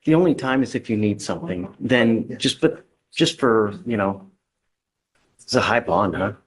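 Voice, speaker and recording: A man's voice: lowish voice, lively intonation, moderate pace, slightly rough, low-quality recording. Blunt American male judge, plain-spoken. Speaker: judge presiding over a criminal court. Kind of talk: courtroom exchanges, informal plain-spoken instructions to defendants. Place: courtroom.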